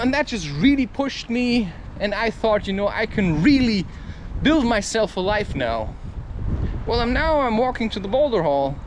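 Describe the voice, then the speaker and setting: A man giving a rambling, confessional, questioning monologue as he walks outdoors. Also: high voice. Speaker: solo vlogger. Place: outdoors, walking in a public area. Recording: noisy outdoor street ambience.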